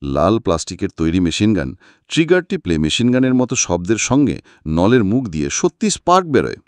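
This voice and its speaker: A man narrating a scripted story as an audiobook reader, dramatic and expressive. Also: fairly low pitch, a little fast, no echo.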